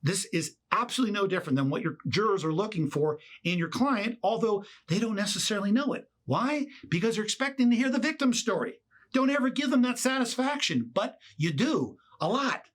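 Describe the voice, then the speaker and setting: A man with a somewhat high voice delivers an instructional, motivational talk straight to the listener. He speaks emphatically, stressing key words and setting up points with rhetorical questions, like a consultant coaching professionals. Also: lively intonation, fairly quick. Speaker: trial consultant and author presenting a lesson.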